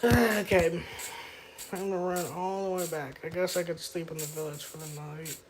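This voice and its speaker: Young adult woman streamer, childish-sounding voice, slightly low, casual rambling, noisy home setup. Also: steady tone, moderate pace. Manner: rambling, lots of fillers, frequent throat clearing. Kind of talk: casual gaming stream chat.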